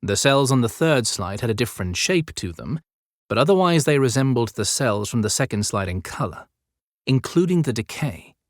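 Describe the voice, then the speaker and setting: A man narrating an audiobook story in a measured, descriptive storytelling style. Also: medium pitch, big pitch swings, dry recording, studio quality. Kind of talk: scripted dramatic storytelling narration.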